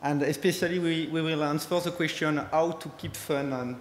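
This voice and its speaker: A man's voice: a little high, steady tone. Man, strong French accent, conference speaker, hesitant English, echoey hall, faint background noise. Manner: hesitant, halting English with fillers. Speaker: conference speaker, company head. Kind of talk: conference presentation. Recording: echoey hall with long reverb.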